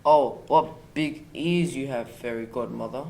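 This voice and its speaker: A man's voice: medium pitch, measured pace, vocal fry, darkish tone. A male performer playing a keen, helpful boy hero in an amateur school radio play. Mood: curious. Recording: faint noise, birdsong behind.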